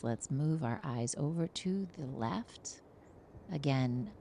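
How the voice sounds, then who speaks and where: A woman meditation teacher speaks in a dark, mellow voice at a very slow pace. Her delivery is soothing, gentle and hushed, guiding the listener into relaxation. Some noise with faint birdsong sits behind her. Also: a bass voice, gravelly.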